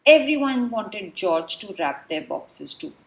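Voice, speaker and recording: A woman reading a children's storybook aloud, expressive and dramatic, with deliberate pauses. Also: mid-range voice. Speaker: children's book reader. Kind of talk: read-aloud storybook narration.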